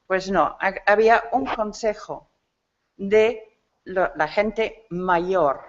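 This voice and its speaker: Slow, halting woman lecturing, slightly muffled. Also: mid-range voice. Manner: halting, searching for words with self-corrections. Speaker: academic speaker at a symposium. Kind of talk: conference lecture. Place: conference hall.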